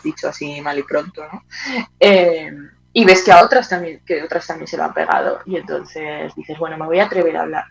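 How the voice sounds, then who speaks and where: A woman's voice: on the low side, muffled recording. A woman with a Spanish accent from Spain, talking casually and animatedly as an interview guest, often checking in with tag questions. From Teruel, Spain.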